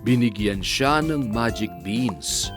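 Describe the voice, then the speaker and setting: Patient cartoon dad reading bedtime tales, played by a man; animated mid-range voice, prominent music behind. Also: mid-range voice, slow pace. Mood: storytelling. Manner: expressive, storybook-like delivery. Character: patient parent telling bedtime stories to a child. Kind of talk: children's cartoon bedtime storytelling.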